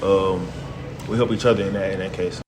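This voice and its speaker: Fast-talking young American man, flat delivery. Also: low voice, crowd noise. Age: young adult. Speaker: college basketball player being interviewed. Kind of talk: casual post-game interview answers.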